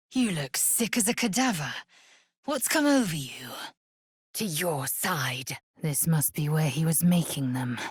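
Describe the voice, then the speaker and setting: A woman's voice: deep voice, husky, dry recording, studio quality, heavily acted. A woman playing a fierce, proud alien warrior in a video game, haughty and clipped, with formal, disdainful phrasing. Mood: sharp, scornful. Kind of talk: video game character voice lines.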